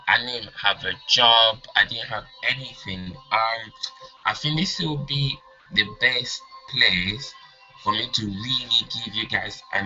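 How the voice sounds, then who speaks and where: A young man from Congo, raised partly in England and not a native English speaker, presents an informal training lesson. His voice is fairly low yet very bright and forceful, and he moves forward in halting phrases. The audio is dull and lo-fi. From Congo, then England. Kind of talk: informal lesson with personal story. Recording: dull lo-fi audio, music behind.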